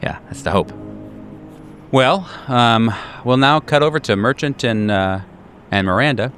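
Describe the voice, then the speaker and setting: A man narrating an improvised tabletop game as game master, in a conversational, halting way with repeated words. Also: medium pitch, a bit bright, soft background music.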